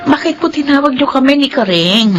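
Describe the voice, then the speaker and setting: An actress playing Yoli, a cheerful, polite housemaid in a radio drama. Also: female voice, sits high, expressive, fairly quick, faint music behind.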